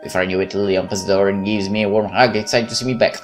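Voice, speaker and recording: A man hosting a gaming video, reading a visual novel aloud with casual commentary and halting, stumbling delivery. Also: fairly low pitch, steady tone. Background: music.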